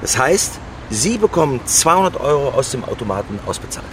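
A man's voice: mid-range voice, very animated, fairly quick, lightly acted. Radio satire: man playing earnest banker being interviewed, explanatory, mid voice, slight outdoor noise. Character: earnest, self-justifying male bank spokesman.

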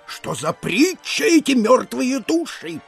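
An actor playing an excitable, perplexed provincial Russian gentleman in an audio drama, hoarse and gravelly, exclaiming and questioning. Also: very high voice, slow pace, soft background music, exaggerated voice. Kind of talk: classic literary audio drama acting.

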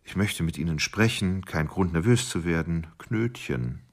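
Husky, low-voiced brooding confiding killer. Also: male voice, lively intonation, a little slow, full character voice. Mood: uneasy recollection. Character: male murderer confiding his plans, coolly obsessive. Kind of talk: radio drama interior monologue.

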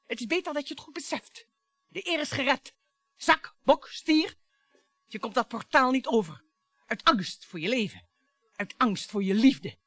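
Radio play: taunting, unstable wife, mocking and theatrical, highly animated pitch, forceful tone, dry studio sound. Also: female voice, unhurried, long pauses, no echo, faint music behind, exaggerated voice. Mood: brittle, intense. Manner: dramatic, mocking, volatile monologues. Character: embittered, taunting, theatrical wife on the brink of violence.

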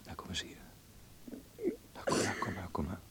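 An actor playing a gentle, teasing young man devoted to his work in a Dutch radio play, speaking tenderly and a little haltingly. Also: in a whisper, lightly acted. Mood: soothing. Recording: noisy radio play recording with low rumble.

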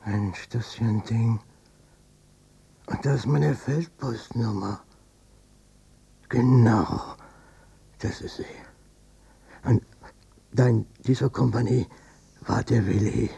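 A man's voice: mid-range voice, takes it slowly, creaky, pauses often, husky, heavily acted. An actor playing a stubborn, evasive old father and former wartime policeman with a short temper, in a German radio drama. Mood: amazed, absorbed. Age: older. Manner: blustering, repetitive, swinging from muttering to shouting.

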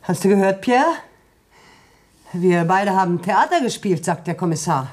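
A roomy, echoing radio drama recording of a bossy farm woman , played by an actress. She has a mid-pitched voice and talks in a brisk, plain, scolding way, very much in charge of her household. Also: lively intonation, darkish tone, lightly acted. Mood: defiant. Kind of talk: German radio play acting.

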